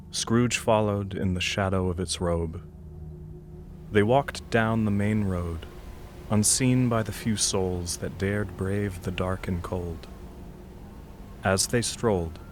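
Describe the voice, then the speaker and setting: A man narrating an audio drama as a grave, solemn storyteller with an ominous manner. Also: deep voice.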